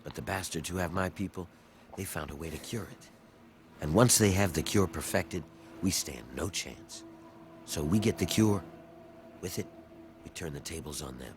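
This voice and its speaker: A man's voice: deep voice, moderate pace, pauses often, slight background noise, lightly acted. An actor playing a conspiring rebel man in a video game, persuading an outsider in plain, purposeful words. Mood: bitter, determined.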